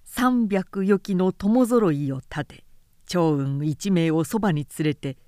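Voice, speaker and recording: A woman's voice: fairly low pitch, slow, mellow, no room sound, clean studio sound. A woman narrating a literary audiobook in a composed, dramatic storytelling manner.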